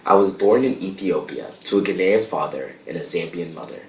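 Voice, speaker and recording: Young guy reading a formal speech to a board, real low voice, sounds far from the mic. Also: expressive, brisk, a little mellow, a little hiss or hum. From United States. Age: young adult. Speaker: student association president. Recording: distant, echoey remote audio.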